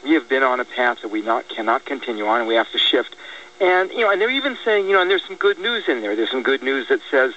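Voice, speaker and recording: An American man speaking in a political radio discussion, halting and repeating words as he reasons things out. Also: medium pitch, big pitch swings, sharp tone. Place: radio broadcast. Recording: dull lo-fi radio, distant, faint noise.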